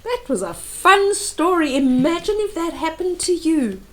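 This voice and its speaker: An older woman retelling a picture book to young children as a storyteller, in short expressive phrases that speak directly to the listener. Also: very high-pitched, a little slow.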